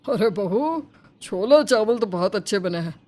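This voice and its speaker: A woman's voice: medium pitch, lively intonation, normal speed, a little mellow, a little hiss or hum, close to an everyday voice. A woman voicing a hearty, appreciative older father-in-law in a cartoon story, speaking emphatically. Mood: pleased.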